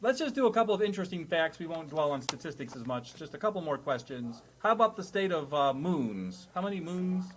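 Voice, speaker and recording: Male quiz host, slightly high voice, playful mock-formal delivery for comedy panel banter. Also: big pitch swings, fairly quick, few pauses.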